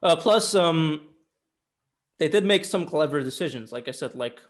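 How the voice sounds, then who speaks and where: A man's voice: on the high side, lively intonation, moderate pace. A man co-hosting a casual film discussion, talking in an informal, emphatic way with some swearing. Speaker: podcast co-host reviewing films.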